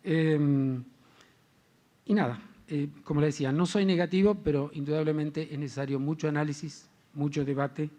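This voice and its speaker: A man's voice: on the high side, steady tone, normal speed, warm tone. A man speaking as a panelist at a formal panel, hesitant, with frequent fillers.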